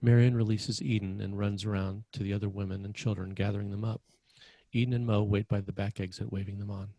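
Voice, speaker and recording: A man with a low, husky voice narrates a screenplay. He reads scene directions as scripted narration, and the sound is dry and close. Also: flat delivery, fast, warm tone, dry recording, poor audio. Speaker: narrator reading stage directions.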